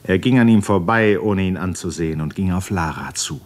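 Male narrator, low voice, measured, solemn, literary storytelling, classic radio play.

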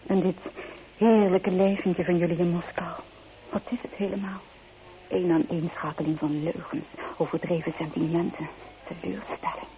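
A woman's voice: on the low side, level tone, moderate pace, close to an everyday voice. A young provincial woman, played by an actress in a radio play, homesick and bitter. She speaks in earnest, wistful, dramatic phrases. The recording is thin and narrow, like an old telephone line. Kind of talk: Dutch radio play acting.

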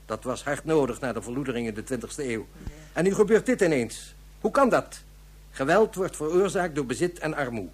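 A grave future police inspector in a radio play. His husky, slightly high male voice declaims and reasons through pointed rhetorical questions, articulate and official. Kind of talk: radio drama acting.

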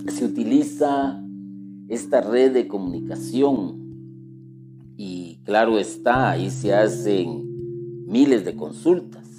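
Slow-spoken man teaching over guitar music. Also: lowish voice. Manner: slow, deliberate, speaks directly to the listener. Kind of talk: religious teaching talk. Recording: guitar music playing behind the voice. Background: plucked guitar music.

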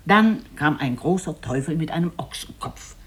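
A storyteller narrating a literary fairy tale in a deliberate, dramatic and expressive way. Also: medium pitch, husky.

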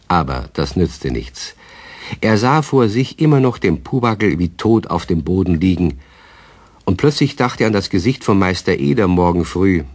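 A man narrating a children's radio play with a low voice that moves expressively up and down. His telling is calm and storybook-like. The sound is dry and close. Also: husky, dry recording.

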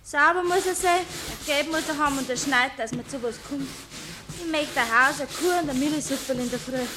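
An actress playing a plucky, plain-spoken young country kitchen maid with a Bavarian accent in a 1950s radio play. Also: female voice, sits high, moderate pace, close to an everyday voice. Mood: pert, scornful. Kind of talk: 1950s German dialect radio play acting. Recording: noisy 1950s radio recording.

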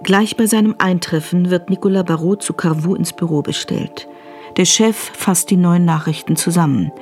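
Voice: mid-range voice, moderate pace, darkish tone, faint music behind. A narrator reading a scripted radio thriller in the present tense.

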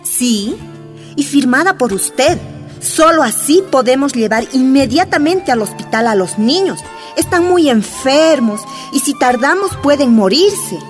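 A woman's voice: high voice, animated, unhurried, faint music behind. An actress playing a prim, fussy woman secretary in a radio drama, with false concern and a pressuring manner. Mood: dramatic concern.

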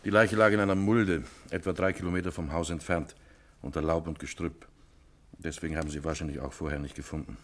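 A formal, deferential policeman, played by a man in an old radio play, reporting in a sober, matter-of-fact way. His voice is extremely low, with a deep and heavy bottom. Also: fairly even intonation, quick pace, natural voice. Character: formal male police inspector. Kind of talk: 1950s radio play acting.

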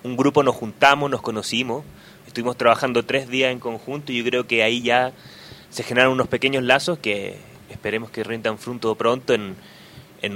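A man from Chile speaking as a guest in a radio discussion, eager but halting, restarting words. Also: medium pitch, a bit bright, slight background noise.

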